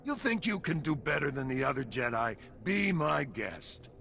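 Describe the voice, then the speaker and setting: A man's voice: fairly high pitch, sing-song, moderate pace, creaky, bright, forceful tone, exaggerated voice. An actor playing a jaded, sardonic male soldier who talks in slang in a video game. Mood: wry, rattled. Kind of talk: video game cutscene acting. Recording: faint background ambience noise.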